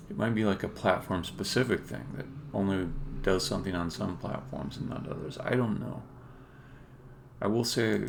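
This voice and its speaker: A man with a low, heavily creaky voice talks himself through code on a live stream. He speaks haltingly, often muttering to himself. There is faint background noise, with keyboard clicks and typing. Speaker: game developer live-streaming. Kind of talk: thinking aloud while live-coding. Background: computer keyboard typing and clicking.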